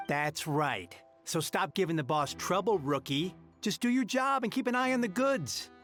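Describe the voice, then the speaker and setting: A man's voice: sits high, lively intonation, moderate pace, a bit bright, faint music behind. A man playing a bossy, brash smuggler henchman in a video game. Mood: bossy, scornful. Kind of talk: video game character acting.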